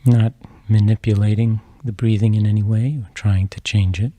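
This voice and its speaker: A man leads a guided meditation in a deeply mellow, relaxed voice. He speaks softly in measured phrases, leaving long pauses between them. The tone is husky and the sound is close and dry. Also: no echo. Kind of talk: slow guided meditation narration.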